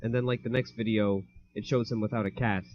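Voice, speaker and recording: A man's voice: on the low side, steady tone, fairly quick, highs cut off. A teenage boy co-hosting a casual podcast, rambling in a laid-back way with lots of fillers. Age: teens. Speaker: podcast co-host. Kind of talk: casual chat.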